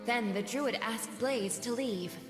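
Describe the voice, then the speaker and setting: A woman's voice: fairly high pitch, takes it slowly. A woman voicing a mystical enchanted storyteller, solemnly narrating a fairy tale in a video game. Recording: long echoing reverb over music. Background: music.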